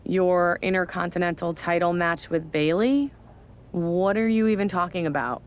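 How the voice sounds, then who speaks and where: A woman's voice: on the low side, normal speed, a little hiss or hum, natural voice. An actress playing a sarcastic, no-nonsense woman general manager in a wrestling video game, dry and authoritative. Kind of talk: video game cutscene acting.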